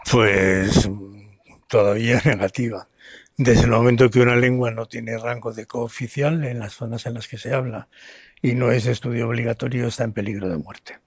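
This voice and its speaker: A man's voice: mid-range voice, steady tone, moderate pace, husky, no room sound. Hesitant Spanish man interviewed, dry close sound. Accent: Spanish from Spain. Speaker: writer and historian being interviewed. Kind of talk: unscripted interview answers.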